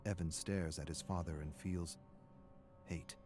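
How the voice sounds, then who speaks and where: An actor playing a grim storyteller, reading a dark family tale for a video game in a solemn, deliberate, menacing way. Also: male voice, low-pitched, steady tone, music in the background. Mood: somber. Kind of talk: dramatic scripted third-person narration.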